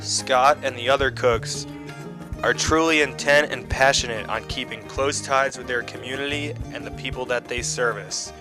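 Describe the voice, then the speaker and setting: A man hosting a food show, moving between scripted narration and casual interview talk. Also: mid-range voice.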